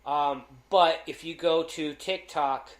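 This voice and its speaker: A man co-hosting a casual podcast, loud-voiced and rambling, with lots of fillers and restarts. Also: fairly high pitch, fairly even intonation, takes it slowly, echoey room. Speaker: podcast co-host.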